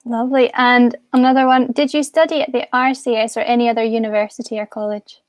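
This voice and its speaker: A woman's voice: fairly high pitch, steady tone, fast, dry recording. A woman co-hosting a live stream, friendly as she reads out viewer questions. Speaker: co-host.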